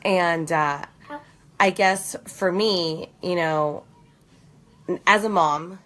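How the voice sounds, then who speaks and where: A slightly hoarse woman in her thirties, chatting earnestly on a live stream from her bed at home, rambling with many fillers and restarts. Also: on the low side, extremely slow, fairly bright tone. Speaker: host of a live video challenge. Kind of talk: casual chat on a live stream.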